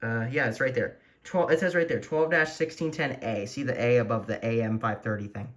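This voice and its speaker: A man's voice: mid-range voice, brisk. A man giving a casual show-and-tell as a hobbyist host, rambling and correcting himself, recorded on a handheld camera in a room at home. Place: small furnished room at home, indoors. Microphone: handheld camera or phone, behind the lens.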